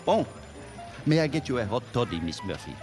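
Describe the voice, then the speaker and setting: An actor playing a charming, flirtatious French ski lodge host in a radio drama, suave and courtly with a French accent. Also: male voice, medium pitch, very animated, fairly quick, over music, close to an everyday voice. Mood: suave, charmed.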